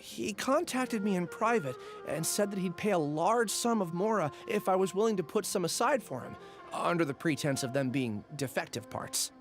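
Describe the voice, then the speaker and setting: A man's voice: high-pitched, lively intonation, normal speed. An actor playing a nervous, cowardly male researcher in a video game, stammering and flustered. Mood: anxious, explaining. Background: faint music.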